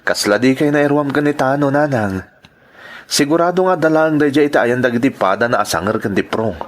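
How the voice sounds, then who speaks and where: Actor doing quiet young guy in a radio soap, sheepish and reassuring, keeps it subdued. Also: medium pitch, animated, brisk, close to an everyday voice. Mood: concerned. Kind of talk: Philippine audio soap drama.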